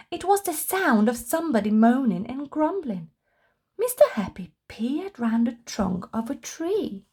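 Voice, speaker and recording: A woman reading a children's storybook aloud with expressive, deliberate narration for young listeners. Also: fairly high pitch, vocal fry, breathy edge.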